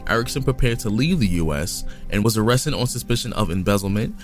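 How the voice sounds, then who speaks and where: A man's voice: lowish voice, expressive, fast talker, faint music behind. A man co-hosting a podcast, reading a crime story from notes in a casual way, sometimes stumbling and restarting. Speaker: podcast co-host. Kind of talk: reading a case aloud in casual chat.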